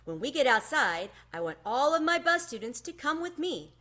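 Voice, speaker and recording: A woman's voice: high voice, very animated, moderate pace, sharp tone, voice pushed up, full character voice. A woman voicing a firm kindergarten teacher in a storybook, speaking in a commanding, instructive way.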